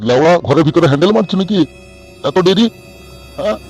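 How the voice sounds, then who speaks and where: A man's voice: a little high, expressive, fast, darkish tone, husky, faint music behind. A man voicing an irritable, crude middle-aged village father in a comic cartoon, scolding bluntly with a West Bengal accent. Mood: impatient, angry.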